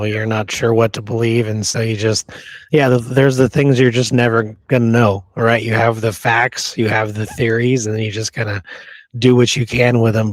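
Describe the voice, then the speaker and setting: A man with a mid-pitched voice co-hosts a relaxed conversation. His sound is dry, and he talks conversationally, repeating phrases to drive a point home. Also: dry recording. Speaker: co-host. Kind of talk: casual chat.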